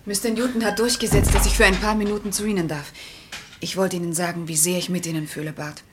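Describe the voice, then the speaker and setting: A woman's voice: on the low side, flat delivery, fast, music in the background, natural voice. An actress playing an earnest, loyal, pleading woman in a vintage German crime radio play. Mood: sympathetic. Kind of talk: German crime radio play acting, mid-20th century.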